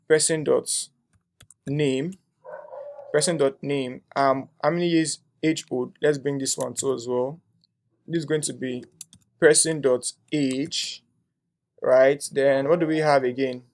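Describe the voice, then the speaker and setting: A man's voice: medium pitch, darkish tone. A young man teaching a coding tutorial, thinking aloud as he types, with frequent "um"s and a tag "right?". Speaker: coding instructor. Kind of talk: screen-share coding tutorial.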